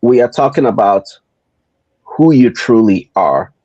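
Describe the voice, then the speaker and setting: A man from Nigeria with a Nigerian accent, hosting a motivational podcast in a deliberate, repetitive rhetorical style. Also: mid-range voice, animated, mellow, no room sound, lo-fi sound. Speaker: podcast host.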